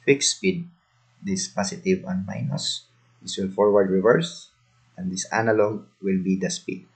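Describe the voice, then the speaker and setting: A man giving slow step-by-step instructions in a screen-recorded software tutorial, with fillers as he clicks along. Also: deep voice, vocal fry, poor audio.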